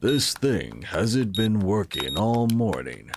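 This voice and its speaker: A man playing an affable, enigmatic tech company founder in an audio drama, measured and welcoming. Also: lowish voice, very animated, vocal fry, background noise, heavily acted. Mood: matter-of-fact. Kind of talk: full-cast audio drama acting.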